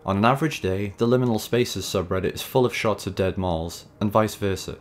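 A man hosting a video essay, reading scripted narration in a measured, wry, conversational way. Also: low-pitched, slight background noise. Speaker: host and narrator of a video series. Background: background music.

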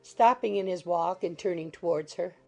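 A woman's voice: on the low side, moderate pace, creaky, mellow, faint music behind. A woman narrating a literary audiobook from a script.

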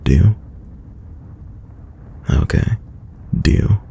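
A man playing a tender, teasing boyfriend in an intimate, soft-spoken ASMR roleplay, with a very deep, gravelly voice. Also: a little hiss or hum. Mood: gently teasing. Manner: slow, soft-spoken, soothing, affectionate. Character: tender, teasing young boyfriend caring for sick partner. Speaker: voice actor.

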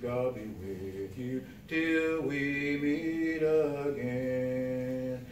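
An older man sings gospel hymns unaccompanied at a very unhurried pace. He is recorded from across a small church room, with noticeable echo and some faint rumbling noise. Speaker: church singer. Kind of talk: unaccompanied gospel hymn singing. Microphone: distant camera in the room. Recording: roomy, distant, noisy church recording with rumble.

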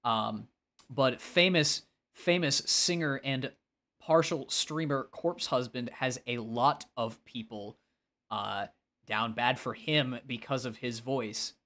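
A man's voice: medium pitch, slow, sharp tone. A man with a nasal voice, talking casually and expressively as he livestreams a game and answers chat, often self-deprecating.

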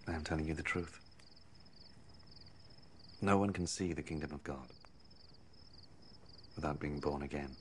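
An earnest holy teacher in a biblical film, with a deep voice. He declares his teachings with quiet authority. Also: a little slow, long pauses, a little hiss or hum. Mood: calm, earnest. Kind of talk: film drama acting.

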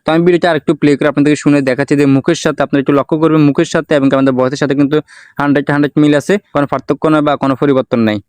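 Very fast-talking man, tutorial host. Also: on the high side, level tone, darkish tone, clear, resonant tone, no echo. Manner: repetitive explaining, English words mixed in. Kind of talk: step-by-step software tutorial narration.

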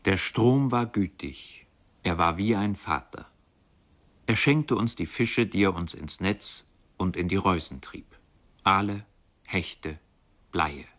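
Low-voiced, reflective storyteller. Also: male voice, slow, a bit bright. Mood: brooding, remorseful. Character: brooding poor river fisherman, remorseful and fatalistic. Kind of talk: literary radio play narration.

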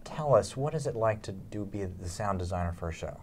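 A man's voice: low voice, lively intonation, a little fast, slight background noise. A middle-aged man hosting a conversational on-camera interview, putting questions to his guests. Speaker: interview host.

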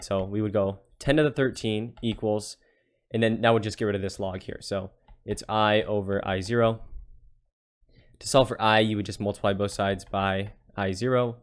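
Low-voiced man tutoring step by step. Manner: step-by-step explaining, often checking with "right?". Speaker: physics tutor. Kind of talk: casual worked-problem tutorial.

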